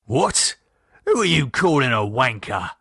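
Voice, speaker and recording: A man's voice: mid-range voice, very animated, measured pace, gravelly, bright, forceful tone, breathy edge, heavily acted. A man voicing a defiant, swearing cockney thug with a Cockney accent. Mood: angry. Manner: aggressive, swearing.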